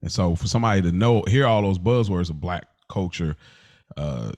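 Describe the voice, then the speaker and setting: A man's voice: low-pitched, animated, normal speed, darkish tone, no echo. A man co-hosting a podcast in casual conversation, speaking earnestly and emphatically, often repeating himself. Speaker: podcast co-host.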